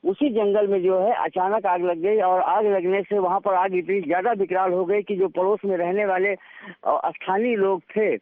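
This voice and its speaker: A man giving an unscripted correspondent's report by phone, in halting, padded phrases. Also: high-pitched, fairly even intonation. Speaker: news correspondent. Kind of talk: live unscripted field report. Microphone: phone call. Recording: muffled narrowband phone line.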